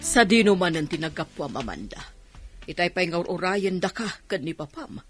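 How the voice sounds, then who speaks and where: A woman's voice: lowish voice, very animated, moderate pace, music in the background. A woman playing a stern, insistent, money-minded mother in an Ilocano radio drama, scolding as she talks.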